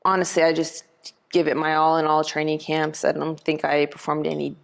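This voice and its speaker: A young woman who grew up speaking Portuguese first, a professional boxer, telling her story in a relaxed interview, with lots of "like" fillers and acted-out quotes. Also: deep voice, brisk, creaky. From Canada. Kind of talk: casual interview talk.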